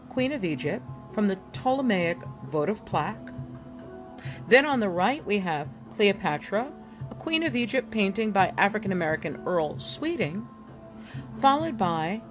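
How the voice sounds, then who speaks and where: A woman giving an expressive, deliberate reading of an illustrated book as a narrator, with dramatic delivery. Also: mid-range voice. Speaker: reader presenting a book chapter. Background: music.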